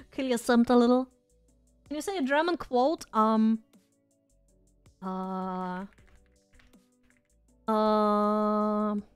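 A woman's voice: mid-range voice, very slow, long pauses, clean tone, soft background music. A woman streamer chatting casually and rambling as she loses her voice. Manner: rambling, chatty, self-deprecating. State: losing her voice. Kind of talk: casual live-stream chat.

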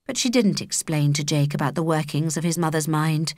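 A woman with a British accent reading a children's story as an expressive audiobook narrator. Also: low voice, brisk, no room sound, clean studio sound.

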